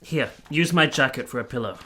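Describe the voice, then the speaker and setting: A man playing an earnest, gentle soldier in a period radio play, speaking in a measured, literary way. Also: medium pitch, lively intonation. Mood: gentle, reassuring. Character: earnest, gentle young soldier narrating his own story. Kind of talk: first-person dramatic narration in a radio play.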